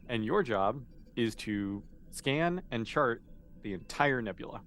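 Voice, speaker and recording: A man's voice: mid-range voice, lively intonation, measured pace, a bit bright. A man speaking casually and informally as a game master, explaining and narrating a tabletop roleplaying game.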